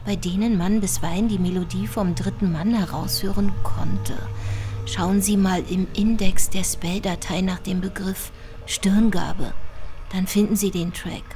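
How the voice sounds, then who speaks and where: A composed female investigator from a radio play, acted by a woman. Her voice is mid-pitched and she explains things in an analytical, knowing way. Faint music runs behind her. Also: steady tone, a little slow, mellow, close to an everyday voice. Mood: composed, explanatory. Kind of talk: German radio play acting, scripted narration.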